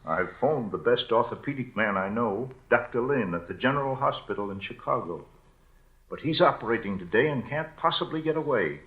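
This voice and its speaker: A man's voice: mid-range voice, lively intonation, fairly quick, lightly acted. An American man playing a sober, practical small-town doctor in 1940s radio drama style. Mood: grave, practical. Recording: tinny, echoey 1940 radio broadcast.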